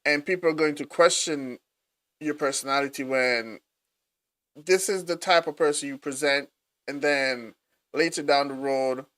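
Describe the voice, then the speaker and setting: Excited man reacting on a stream. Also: fairly high pitch, a little slow. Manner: excited, talks to viewers, says bro a lot. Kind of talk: live stream reaction commentary.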